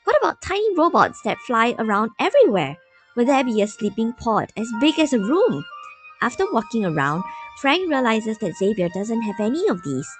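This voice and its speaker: Woman narrating a story, really lively pitch swings, light music underneath. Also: normal speed. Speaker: narrator, fan story reader.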